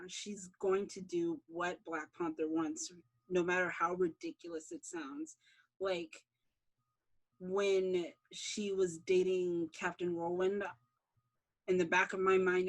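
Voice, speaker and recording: A woman's voice: lowish voice, measured pace, rough recording. A woman being interviewed as a series creator, explaining things informally in casual conversation.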